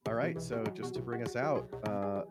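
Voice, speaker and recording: A man's voice: mid-range voice, normal speed, loud music behind the voice. A man hosting a podcast in a casual, conversational style. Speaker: podcast host.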